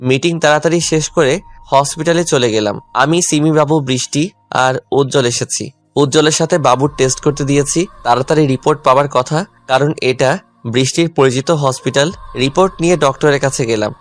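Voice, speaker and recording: A man's voice: mid-range voice, a little fast. A man narrating a first-person love story as a dramatised audio tale. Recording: music under the voice.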